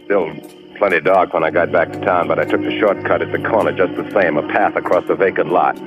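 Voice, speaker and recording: Guarded husband played by a man, vintage radio thriller, low register, clipped delivery, muffled sound. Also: steady tone, a little fast, close to an everyday voice. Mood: tense, narrating. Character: guarded, edgy husband of a missing wife, narrating. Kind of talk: 1940s radio drama acting. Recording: dull old radio recording, music behind.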